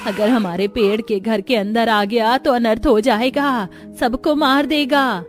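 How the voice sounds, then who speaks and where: A woman's voice: on the high side, unhurried, faint music behind, natural voice. A woman voicing a protective, fretful mother-in-law of a poor family in a cartoon story. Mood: cautious. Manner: cautious, fretful.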